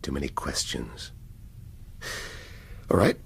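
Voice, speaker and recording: An actor with an English accent playing a brooding middle-aged police detective in a radio drama, musing in clipped half-sentences. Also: male voice, extremely low pitch, very animated, slow pace, gravelly, a little hiss or hum, exaggerated voice. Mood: musing, muttering.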